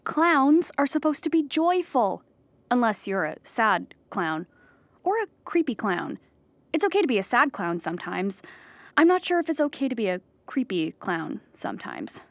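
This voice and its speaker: An actress playing an anxious, childlike clown who rambles earnestly in a theatrical audio comedy monologue. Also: female voice, a little high, very animated, moderate pace, vocal fry, no room sound. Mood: pleading, rambling. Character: anxious, childlike clown pleading at an appeal hearing.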